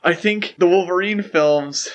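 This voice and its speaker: A man's voice: high-pitched, measured pace, fairly bright tone. A man hosting a podcast, talking through film history in a casual, conversational style. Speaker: podcast host.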